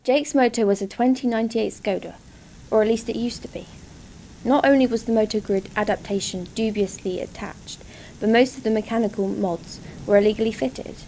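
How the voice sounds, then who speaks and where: A tough, tense female operative acted by a woman tells her heist story in a radio drama. Her voice sits on the high side, over clearly noisy ambience with vehicle sounds. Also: fairly even intonation, fairly quick, dark tone, close to an everyday voice. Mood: narrating, wary. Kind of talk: radio drama first-person narration with acted dialogue.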